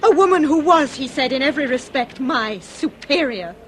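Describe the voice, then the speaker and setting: Radio drama: high-pitched upper-class English lady, wronged and anguished, formal, theatrical, slight background noise. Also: animated, normal speed, fairly bright tone. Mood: shuddering, bitter. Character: anguished aristocratic lady confessing, dignified, wronged wife.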